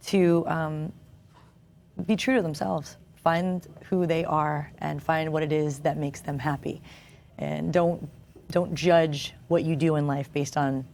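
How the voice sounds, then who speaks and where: A woman's voice: deep voice, a little mellow. A woman actress talking as a guest on a TV interview show, earnest, with fillers and restarts. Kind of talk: casual interview talk. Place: television studio.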